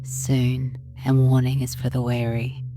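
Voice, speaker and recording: A woman's voice: very deep voice, completely flat delivery, a little slow. A woman voicing a seductive, teasing, commanding femme fatale in a horror audio drama, half whispering as she coaxes. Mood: seductive, demanding. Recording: loud music under the voice.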